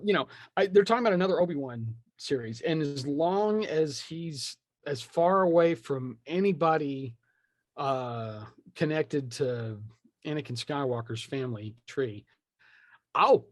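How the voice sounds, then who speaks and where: A man's voice: on the high side, lively intonation, unhurried, no echo, studio quality. A man in a casual podcast chat, opinionated and rambling as he thinks aloud. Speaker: podcast speaker discussing film franchises.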